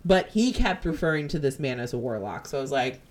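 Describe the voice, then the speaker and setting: A woman with a New Jersey accent, hosting a podcast and retelling a ghost-hunting episode in animated, profane casual chat. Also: a bass voice, a little fast. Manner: animated, profane, jumps into quoted voices. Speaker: podcast host.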